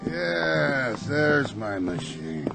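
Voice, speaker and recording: A brash male gambler in a radio play. His voice is gravelly and animated, chummy in tone, with music behind it. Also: medium pitch, very slow, full character voice. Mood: breezy. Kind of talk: radio drama acting.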